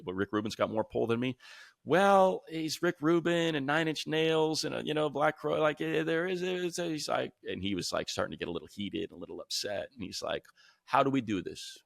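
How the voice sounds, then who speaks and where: A man's voice: mid-range voice, creaky, no room sound, studio quality. A man co-hosting a sports talk show, chatting casually and telling stories like a radio announcer, acting out the dialogue. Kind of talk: casual chat and storytelling.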